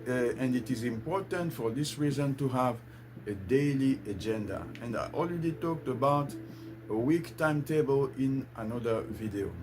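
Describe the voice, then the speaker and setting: Earnest man preaching over guitar music. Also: medium pitch. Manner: earnest, repetitive, preacher-like cadence. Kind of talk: faith-based motivational talk.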